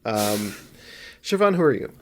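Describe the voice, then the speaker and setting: A man's voice: fairly low pitch, sing-song, measured pace, rough recording. A man co-hosting a casual film podcast, sniffly from allergies, who rambles and corrects himself with jokes. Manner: rambling, self-correcting, jokey. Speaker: podcast co-host.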